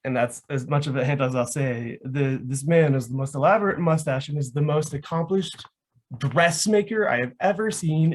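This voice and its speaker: A man talking casually and enthusiastically as a guest about his hobby, rambling and saying "like" often. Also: a little high. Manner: enthusiastic, rambling, frequent "like" as filler. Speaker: guest, LARP foam crafter.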